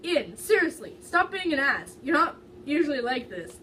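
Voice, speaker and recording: Casting: woman reading as a sensible, put-upon guy in a camping story, emphatic, raised pitch, slightly distant recording. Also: very animated, moderate pace, a bit bright. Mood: exasperated. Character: level-headed, exasperated male best friend on camping trip. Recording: distant mic, faint background noise.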